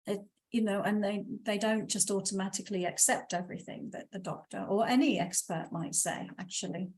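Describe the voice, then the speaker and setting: A woman who teaches meditation, a guest on an online talk show, explaining things calmly in steady flowing lists. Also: medium pitch, a little fast. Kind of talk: casual interview talk.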